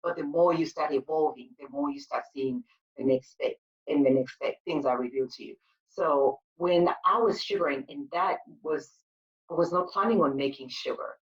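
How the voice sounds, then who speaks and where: A woman's voice: sits low, normal speed, far from the mic, dull audio. A woman from Zimbabwe, a spa owner, answering interview questions in an earnest storytelling way with frequent fillers. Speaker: guest, spa owner and sugar paste maker.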